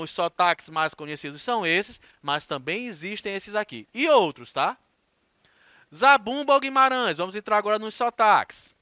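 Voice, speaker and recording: A man's voice: sits high, sharp tone, smooth, dry recording. A man teaching a recorded class, explaining things with chatty asides to his students.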